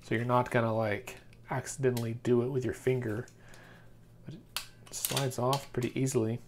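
A man with an American accent talks through a product in an informal, think-aloud way. His voice sits at a medium pitch. There is background noise with small handling clicks around him. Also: little pitch movement, moderate pace. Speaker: product reviewer. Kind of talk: casual unboxing commentary.

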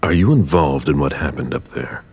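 An actor voicing a stern vampire investigator in a video game, curt and intimidating, with a commanding, interrogating manner. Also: male voice, very deep voice, lively intonation, moderate pace, vocal fry, darkish tone, breathy edge, full character voice. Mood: cool, probing. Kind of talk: video game cutscene acting.

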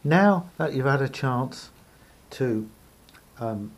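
Casting: man, hobby repairer type, gravelly and rough-edged, explanatory, unscripted, pausing to think aloud. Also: mid-range voice, animated. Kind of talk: unscripted hands-on demonstration talk. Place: indoor room at a table.

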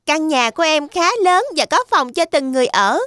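A clever little boy pig in a cartoon fairy tale. The voice is very high and earnest. The sound is dry and clean. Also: lively intonation, a little fast, fairly bright tone, dry recording. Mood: earnest, cheerful. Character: small, clever, earnest young male piglet. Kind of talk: animated fairy tale dub.

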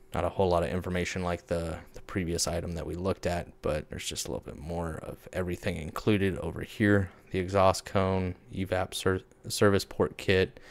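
A young man giving a casual rundown of new tools, relaxed and plain-spoken, with frequent filler words. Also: deep voice, no echo. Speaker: tool reviewer. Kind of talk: casual screen-share product rundown.

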